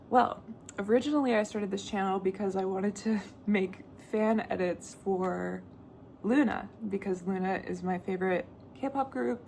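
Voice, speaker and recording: A woman's voice: medium pitch, a little mellow, slight background noise. A young woman, a native Danish speaker, chatting casually to camera as a book vlogger. She talks on and on and often breaks into laughs.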